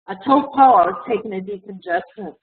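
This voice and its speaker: A woman art collector talking casually in a webinar, rambling with lots of fillers and restarts, and losing her voice. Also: medium pitch. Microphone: video call. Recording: echoey room over tinny video call.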